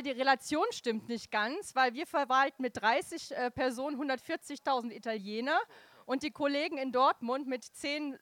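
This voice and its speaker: A woman who works as a press officer, explaining things in a measured way on a panel. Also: on the high side, fairly bright tone, dry recording. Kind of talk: panel discussion.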